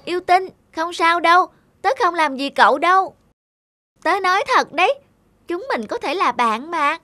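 An earnest little schoolboy in a cartoon, with a very high voice. He sounds kind and sincere, often pleading, with music behind him. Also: animated, moderate pace, sharp tone, exaggerated voice. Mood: friendly, curious. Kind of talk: Vietnamese cartoon voice acting.

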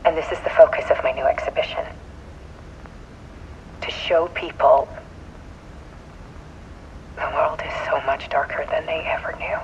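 Dull lo-fi recording, intense self-absorbed artist, highish voice, theatrical, portentous, game monologue. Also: male voice, fairly even intonation, a little slow, pauses often. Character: intense, self-absorbed male artist presenting his dark work. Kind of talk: dramatic video game monologue. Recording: dull lo-fi sound, faint noise.

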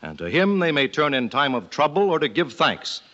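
A man's voice: a little high, very animated, moderate pace, pushed and bright. An actor playing a resolute, eloquent revolutionary statesman with formal, declamatory delivery in an old-time radio drama. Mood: earnest. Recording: dull vintage radio recording.

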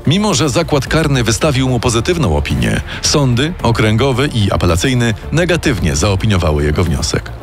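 A man narrating a scripted true-crime radio story in a measured, dramatic way. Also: mid-range voice, very animated. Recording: narration over loud music.

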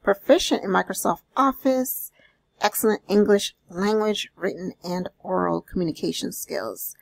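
A woman doing an explanatory voice-over, reading out and paraphrasing listings in a deliberate, instructional manner with small check-ins. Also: medium pitch, vocal fry, no echo. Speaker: host of a job-leads channel.

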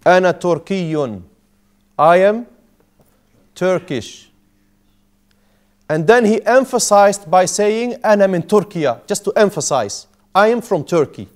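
A man's voice: sits high, lively intonation, normal speed, pauses often. A man in his thirties teaching a language class in a small classroom, patiently repeating and explaining each word. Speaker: Arabic teacher. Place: small indoor classroom with whiteboard.

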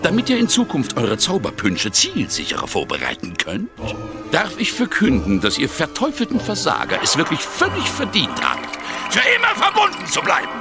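An actor playing a pompous, mocking bringer of curses with formal speech in an audio drama. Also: male voice, a little high, huge pitch swings, moderate pace, over music. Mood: gloating.

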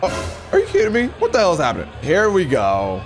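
Young male gaming host, high and very animated, excitable and trash-talking, voice going hoarse, headset mic, game music under him. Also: fairly quick. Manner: excitable trash talk, reads messages aloud in mocking voices. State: losing his voice. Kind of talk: live gameplay commentary. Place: home streaming room. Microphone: headset with studio mic.

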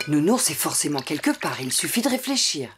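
A woman voicing a patient, gently firm mother donkey in a cartoon, motherly and reasoning. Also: deep voice, sing-song, a little fast, music in the background. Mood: firm, reassuring. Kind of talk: cartoon voice acting.

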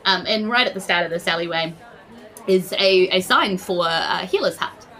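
Bright-voiced New woman narrating a game. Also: lowish voice, normal speed. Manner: informal, descriptive. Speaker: game master. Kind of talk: improvised narration of a tabletop game.

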